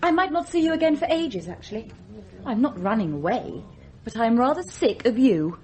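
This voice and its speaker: A woman's voice: a little high, big pitch swings, normal speed, a little mellow. An actress playing a blunt, cool, sullen daughter in her twenties in a radio drama. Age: 20s.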